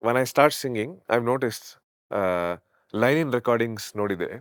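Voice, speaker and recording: A man's voice: animated, racing, smooth, dry recording, clean studio sound. A man, a veena player and singer talking easygoingly in an interview. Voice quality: deep voice. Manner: reflective, easygoing, laughs often, mixes Kannada into English. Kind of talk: casual interview chat.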